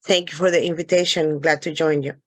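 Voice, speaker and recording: Woman from Virginia Beach, lowish voice, polite formal greeting, video meeting. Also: fairly even intonation, fast talker. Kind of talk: meeting self-introduction. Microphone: video call.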